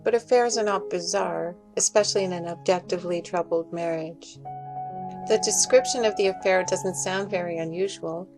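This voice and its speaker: A woman's voice: on the low side, normal speed, over music, rough recording. A woman reading a scripted, critical commentary as a voice-over. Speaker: video commentator. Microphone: voice-over recorded in separate snippets.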